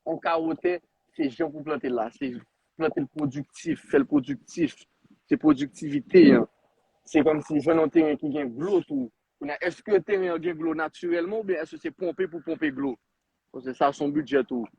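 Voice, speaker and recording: A man's voice: a little high, sing-song, moderate pace, creaky, mellow, breathy edge, no echo, poor audio. A man in his twenties, a guest on a live interview, chatting casually and rambling with many restarts. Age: 20s. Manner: rambling, switches between Creole and French with many restarts. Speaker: guest, agriculture founder.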